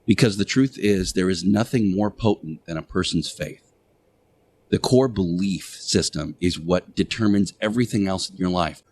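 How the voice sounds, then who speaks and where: A man with a fairly low voice delivers a radio monologue in the manner of a preacher. He speaks deliberately in short, emphatic phrases, pausing between them and repeating key words for weight. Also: a little mellow, a little hiss or hum. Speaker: radio show host playing Jesus in interactive radio theater.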